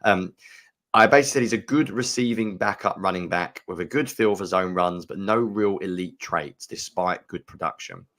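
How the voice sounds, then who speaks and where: A man's voice: deep voice, normal speed, fairly bright tone. A man co-hosting a sports podcast, giving frank, opinionated analysis and thinking aloud with fillers. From United Kingdom. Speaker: podcast co-host.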